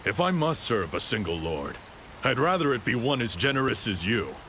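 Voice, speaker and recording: An actor playing a blunt, resigned warrior for hire in a video game cutscene, speaking in a dry, frank way. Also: male voice, mid-range voice, very animated, moderate pace, a bit bright, slight background noise. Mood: frank, bargaining.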